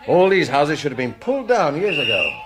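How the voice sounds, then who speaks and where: An actor with a British accent playing a brisk, dry, world-weary chief inspector who questions with authority in a radio drama. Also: male voice, a little high, very animated, heavy background noise. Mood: disgusted, weary.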